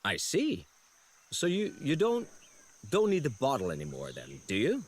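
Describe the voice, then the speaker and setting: An actor playing a naive, polite young apprentice angel in a video game, hesitant and earnest. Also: male voice, mid-range voice, wildly swooping pitch, moderate pace. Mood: polite, probing. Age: young adult. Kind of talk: video game character dialogue. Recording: music under the voice.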